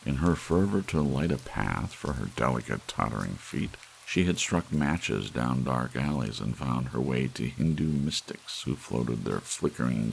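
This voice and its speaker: A man's voice: extremely low pitch, gravelly, low-quality recording. A man reading a story aloud as an audiobook narrator, in an ASMR bedtime style. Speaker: audiobook reader. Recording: faint hiss, soft rain sound. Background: soft rain.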